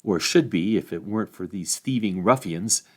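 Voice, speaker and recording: A man's voice: lowish voice, moderate pace, breathy edge. Male reader as a proper middle-aged hobbit wife, politely tart. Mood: prim.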